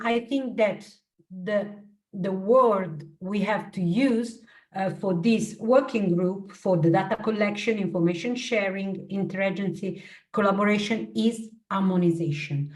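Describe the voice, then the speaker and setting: A woman with an Italian accent, a non-native English speaker, co-chairing an online meeting, careful and searching for words. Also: mid-range voice. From Italy. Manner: careful, searching for words, repeats key points. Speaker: working group co-chair. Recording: distant from the mic.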